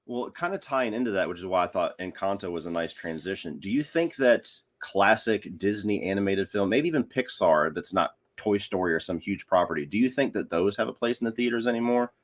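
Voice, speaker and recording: A man's voice: fairly low pitch, lively intonation, fairly quick, few pauses. A man talking casually about films as a guest on a podcast. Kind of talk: casual film discussion.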